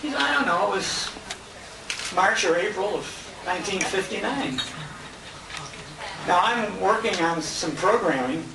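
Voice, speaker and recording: High-voiced man emceeing in a noisy room. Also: expressive, measured pace, creaky, pauses often. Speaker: emcee and radio host. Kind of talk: informal banquet speech to guests. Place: restaurant banquet room, indoors. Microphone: handheld compact camera at a distance. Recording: noisy echoey dining room, distant handheld camera mic. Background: loud diners, clattering dishes and cutlery.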